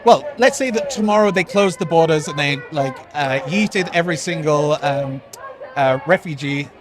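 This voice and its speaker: A man's voice: on the high side, moderate pace. A man explaining his political views earnestly and casually out on a street. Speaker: protest interviewee. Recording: outdoor street with crowd noise.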